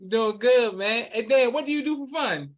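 A man's voice: very high-pitched, normal speed, a bit bright. A man hosting a podcast over a video call, upbeat and polite, often saying yes sir. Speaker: podcast host. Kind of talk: casual interview. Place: home room with poster wall.

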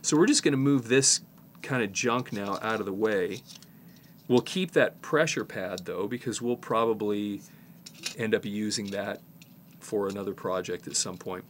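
A man in his fifties with a fairly low voice and a slight head cold talks through a hands-on job. He is informal and halting, explaining as he works, with noticeable background noise around him. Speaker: presenter, tape repair technician. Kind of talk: casual hands-on tutorial.

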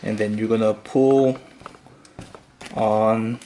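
A man hosting a fishing tutorial, calmly talking through each step with occasional fillers as he ties knots. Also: fairly low pitch, a little hiss or hum. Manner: slow, relaxed instructional talk with fillers. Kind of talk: step-by-step demonstration voice-over.

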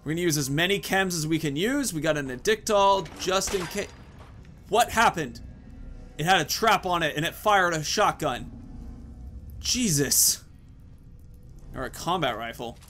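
A man's voice: fairly high pitch, animated, normal speed, long pauses, fairly bright tone, faint music behind. A man in his thirties giving casual, informal, rambling commentary as he hosts a video game playthrough. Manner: rambling, informal, swears mildly. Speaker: gaming video host.

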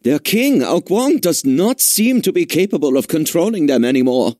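Questing game sidekick: high, swooping voice, inquisitive and anxious, dramatic delivery with music behind. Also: male voice, big pitch swings, normal speed, warm tone, no room sound, studio quality. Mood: concerned. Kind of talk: dramatic game cutscene acting.

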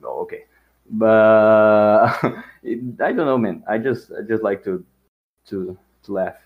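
A man whose first language is Portuguese, calling into a podcast and speaking with a Portuguese accent in hesitant, halting chat. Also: on the low side. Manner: hesitant, halting, laughs often. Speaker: caller. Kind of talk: casual chat. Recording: dull lo-fi voice call.

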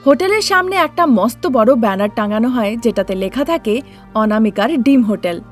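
A woman with a fairly high voice narrates a children's cartoon story. She reads from a script as a storyteller, with music playing behind her. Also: animated, moderate pace.

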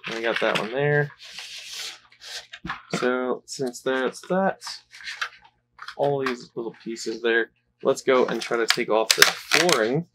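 Male vlogger, mid pitch, easygoing off-camera narration, faint noise underneath. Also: slow, pauses often. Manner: relaxed, rambling, with fillers. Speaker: toy unboxing vlogger. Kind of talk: casual unboxing commentary.

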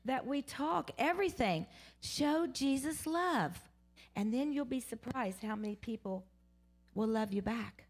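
A woman giving a children's sermon in a church, talking simply and asking the kids questions. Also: high-pitched. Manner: simple, coaxing, call-and-response questions. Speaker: children's message leader. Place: church sanctuary in front of seated children.

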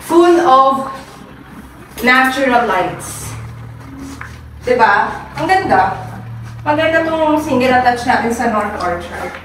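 A woman's voice: sits high, lively intonation, slow pace, pauses often, a bit bright, recorded from a distance, faint music behind. A young Filipino woman working as a real estate agent, giving an upbeat, salesy house tour that mixes English and Tagalog. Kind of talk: property tour vlog. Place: inside a show house and outside on a street. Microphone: handheld camera held by the speaker. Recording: echoey rooms, off-mic, street noise.

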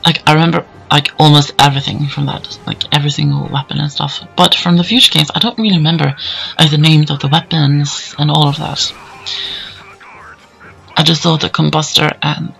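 Hoarse, gravelly-voiced man narrating gameplay. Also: fairly high pitch, fairly even intonation, brisk, bright, forceful tone, soft background music, low-quality recording. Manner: rambling, easygoing, many fillers. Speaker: let's play commentator. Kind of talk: casual gameplay commentary voice-over.

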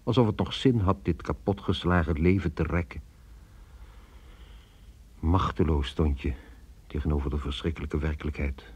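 An actor playing a weary, brooding businessman who narrates wartime memories in a reflective, measured way for a radio drama. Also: rumbling low, a little mellow, slightly rough, highs cut off, heavily acted.

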